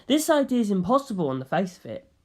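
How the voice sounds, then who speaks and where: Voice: mid-range voice, big pitch swings, fast. A narrator voicing an earnest young male detective who questions and objects insistently. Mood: insistent, doubting.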